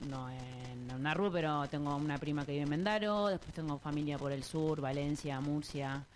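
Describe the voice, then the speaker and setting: A woman's voice: sits low, normal speed, slight background noise. A woman with an Argentine accent telling her story casually and a little hesitantly as an interview guest. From Buenos Aires province, Argentina.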